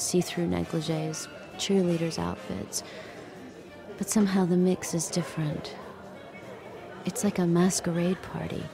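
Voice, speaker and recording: A wary, thoughtful captive in a modern radio play. Her voice is on the low side, measured and guarded. Music is clearly heard behind her. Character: wary, watchful captive woman, subdued and thoughtful. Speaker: actor in an audio drama. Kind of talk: modern audio drama acting with inward narration.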